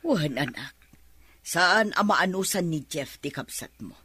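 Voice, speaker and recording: A woman's voice: lowish voice, lively intonation, measured pace, pushed and bright, breathy edge, no room sound, full character voice. A middle-aged woman acting a worried, scolding mother in an Ilocano radio drama. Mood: worried, confiding.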